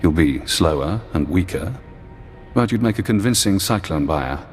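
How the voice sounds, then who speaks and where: An actor playing a brilliant, paranoid imprisoned intelligence analyst in a video game, speaking in measured, clipped commands. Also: male voice, low voice, animated, a little mellow. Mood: calculating. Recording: long hall-like reverb, music behind. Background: game music.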